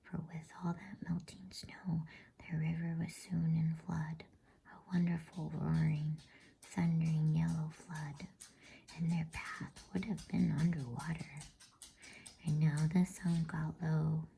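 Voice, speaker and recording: A woman reads a storybook aloud as ASMR in a hushed, unhurried, breathy whisper meant to soothe. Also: sits low, little pitch movement, slight background noise. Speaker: reader of bedtime stories.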